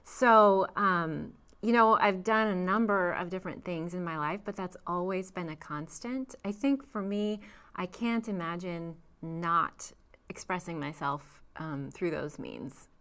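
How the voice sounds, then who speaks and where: A young woman speaks in a slightly low, smooth and resonant voice. She answers questions thoughtfully, pausing on ums and restarting phrases as she goes. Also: fairly bright tone. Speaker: guest vocalist. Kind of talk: interview answers.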